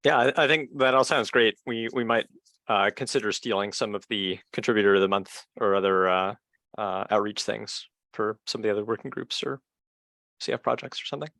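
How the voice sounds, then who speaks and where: A man's voice: lowish voice, a little fast, gravelly, a bit bright, no room sound. A man chatting in a work meeting, tentative and slightly stumbling in his phrasing. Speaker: meeting participant. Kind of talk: casual work meeting talk. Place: online video call.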